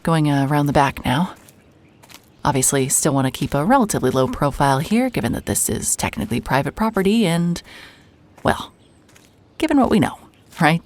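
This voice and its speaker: A woman acting as a hard-hearted, wry and nervy private investigator in a cinematic audio drama, muttering to herself as she works. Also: deep voice. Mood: cautious, hushed. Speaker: lead actor and narrator of an audio drama. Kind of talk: cinematic audio drama, first-person noir narration and case dictation.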